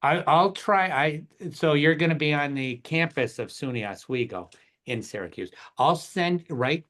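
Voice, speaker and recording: A man's voice: on the high side, a little slow, fairly bright tone. A man leading an online session, talking casually and affirming others. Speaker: session organizer. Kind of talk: casual meeting chat.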